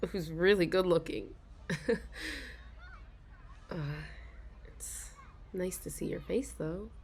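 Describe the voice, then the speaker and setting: A young woman in a soft ASMR role-play, confiding hesitantly as an anxious office worker, sighing and stopping to restart her sentences. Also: lowish voice, gravelly. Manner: hesitant and self-deprecating, with frequent sighs and halting restarts.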